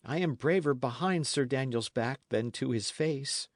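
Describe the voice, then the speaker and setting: A man's voice: medium pitch, normal speed, no echo, clean studio sound, voice pushed up, lightly acted. A man voicing a proud, wary young companion in an old adventure storybook, with archaic speech. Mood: resigned, wary.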